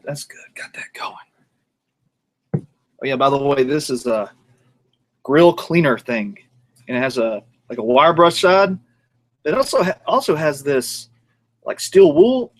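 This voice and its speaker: A man's voice: mid-range voice, expressive, a little slow, pauses often. Rambling man grilling outdoors. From North Georgia, USA. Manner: rambling, jokey, talks while working the grill. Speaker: cooking show host. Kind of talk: casual cooking vlog.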